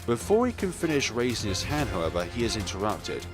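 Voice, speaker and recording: A man's voice: fairly low pitch, fairly quick, music in the background. A man hosting a let's play, reading a visual novel aloud expressively with casual commentary of his own. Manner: expressive, acts out dialogue, chuckles and stumbles over words.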